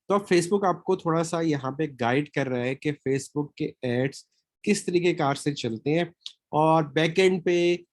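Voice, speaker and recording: A man's voice: on the high side, darkish tone, dry recording. A man from Pakistan with a sore throat, giving a recorded lecture as a marketing trainer, often checking in with his listeners. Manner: explanatory, checks in with "theek hai?" often.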